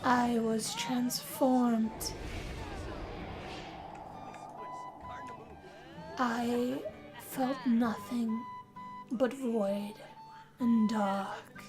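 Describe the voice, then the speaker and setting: A woman's voice: on the high side, fairly even intonation, extremely slow. A woman voicing a moody, weary young woman in a first-person dramatic role-play, with a sighing, confessional delivery. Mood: haunted, entranced. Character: young woman Yazmin, moody, weary, being turned vampire. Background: guitar music.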